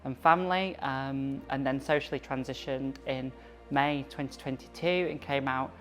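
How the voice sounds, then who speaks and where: Very low-voiced measured woman. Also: steady tone, soft background music. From Manchester, England. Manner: measured, grateful. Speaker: interviewee. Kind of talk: reflective personal account.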